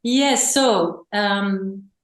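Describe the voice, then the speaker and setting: A woman's voice: mid-range voice, drawn-out words, roomy sound. A woman who is a spiritual teacher, talking enthusiastically as a podcast guest, rambling with frequent restarts. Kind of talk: enthusiastic interview talk.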